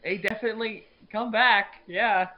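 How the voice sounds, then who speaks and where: High-voiced man, muffled call audio. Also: fairly even intonation, moderate pace. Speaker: podcast co-host. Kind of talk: casual banter about a manga story.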